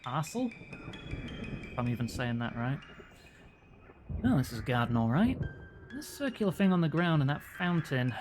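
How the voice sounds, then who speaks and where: A man at a medium pitch chats through a video game stream and jokes as he goes. He thinks aloud in a loose, relaxed way. Clear game music plays behind his voice. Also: normal speed, pauses often. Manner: joking, chatty, thinks aloud. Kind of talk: casual let's-play commentary.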